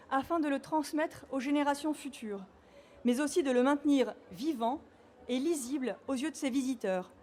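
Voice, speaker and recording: High-voiced woman reading a formal speech, slow and solemn, bit of background noise. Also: darkish tone. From Normandy. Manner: measured, solemn official delivery. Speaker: state official giving a speech.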